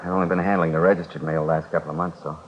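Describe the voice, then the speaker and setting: An actor playing a shaken but cooperative mail truck driver, plain-spoken and a little hesitant, in a 1950s radio drama. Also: male voice, low-pitched, little pitch movement, racing, close to an everyday voice. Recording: dull, faintly noisy 1950s radio recording.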